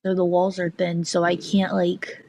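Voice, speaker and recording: A woman's voice: on the low side, monotone, normal speed, gravelly, mellow. A young woman chatting as a guest on a video call, shy and nervous, hesitating with many fillers. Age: young adult. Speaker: chat guest. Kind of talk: casual video chat.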